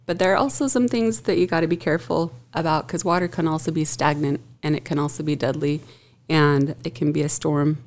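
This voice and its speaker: A woman with a low voice talks through her thoughts in a loose, casual conversation. She muses aloud and laughs easily between ideas. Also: steady tone, brisk, hardly any pauses, a little mellow. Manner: reflective, laughs often. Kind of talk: casual chat.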